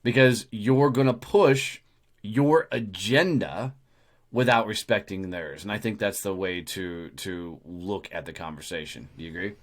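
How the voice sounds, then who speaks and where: Blunt, cussing host. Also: male voice, fairly low pitch, measured pace. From Texas, USA. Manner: blunt, swears freely. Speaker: podcast host. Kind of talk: casual conversation.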